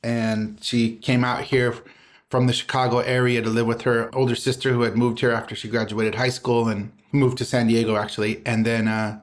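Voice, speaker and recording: A man's voice: mid-range voice, flat delivery, normal speed. Spoken-word poet man, rhythmic delivery. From Southern California. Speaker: poet and guest. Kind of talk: poetry recital then conversational interview.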